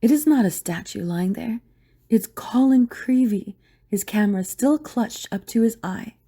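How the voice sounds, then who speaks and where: A woman's voice: fairly high pitch, measured pace, mellow, slightly rough. A woman reading scripted, dramatic story narration for an audio drama.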